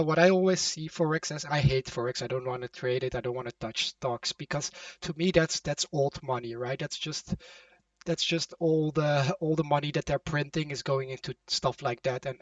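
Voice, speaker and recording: A fairly high-voiced man talks bluntly and with strong opinions on a voice call. The sound is dry and a bit distant from the mic. He chats casually and laughs often. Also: fairly even intonation, normal speed, no echo, lo-fi sound. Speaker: crypto channel host. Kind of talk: casual chat.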